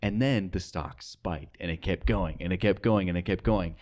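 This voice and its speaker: A man's voice: low-pitched, normal speed, a little mellow. A man with an American accent talking casually as an interviewer on a conversational show.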